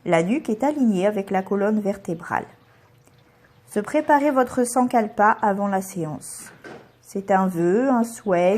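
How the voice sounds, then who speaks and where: A woman guiding a relaxation meditation as a yoga nidra instructor, giving calm, soothing, measured instructions with pauses between them. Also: medium pitch.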